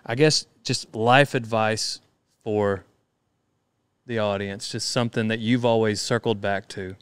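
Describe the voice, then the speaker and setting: A young man hosting a podcast, polite and easygoing, answering with "yes, sir" and asking quick questions. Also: fairly low pitch, fairly even intonation, clear, resonant tone, no echo. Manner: polite, drawling fillers, says "yes, sir". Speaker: podcast host. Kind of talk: casual interview chat.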